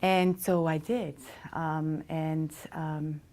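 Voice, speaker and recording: A woman's voice: low-pitched, fairly even intonation, extremely slow, darkish tone. A middle-aged woman from Switzerland, telling her life story in an interview with frequent hesitations. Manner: reflective, many hesitations. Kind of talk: casual storytelling.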